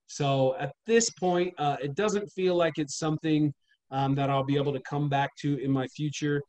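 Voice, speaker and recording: Earnest man in his thirties on video call. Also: fairly high pitch. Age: 30s. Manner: measured, earnest, steady explaining. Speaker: wrestling trainer and promoter. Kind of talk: casual interview over video call. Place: small indoor room at home. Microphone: webcam on video call.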